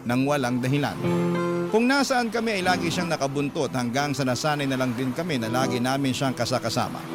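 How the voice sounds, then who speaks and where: A man with a Filipino accent reading scripted first-person drama narration. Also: a little high.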